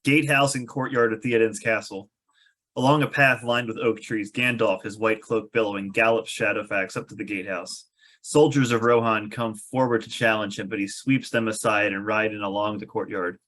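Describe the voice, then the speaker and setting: A man's voice: lowish voice, fairly even intonation, normal speed, fairly bright tone. A man reading stage directions as the narrator of a scripted table read.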